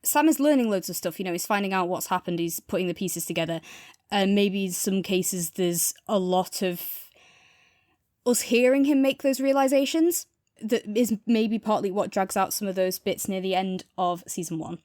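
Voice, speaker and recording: A woman's voice: mid-range voice, moderate pace, a little mellow, no room sound, clean studio sound. A woman co-hosting a podcast, chatting casually and reflectively as she gives a review. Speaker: podcast co-host reviewing audio fiction.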